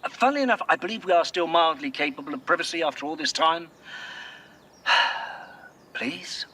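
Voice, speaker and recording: An actor playing a distressed, put-upon young man in an audio drama, halting, polite and emotional. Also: a little high, expressive, bright, forceful tone, slightly rough, exaggerated voice. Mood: uneasy.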